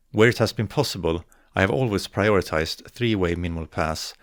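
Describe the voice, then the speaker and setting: A young man from northern Sweden, a native Swedish speaker with a Swedish accent, giving a careful, measured scripted narration for an educational video. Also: sits low, no echo, clean studio sound. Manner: measured, careful, slows to articulate example words. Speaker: video essayist on dialects.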